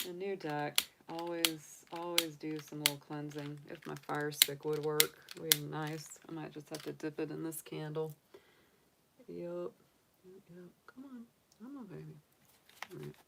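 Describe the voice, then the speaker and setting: A woman's voice: low-pitched, steady tone, measured pace, gravelly, heavy background noise. A woman from the United States, casually chatting on a livestream as a tarot reader, rambling with many fillers and restarts. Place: home room with candles and sage.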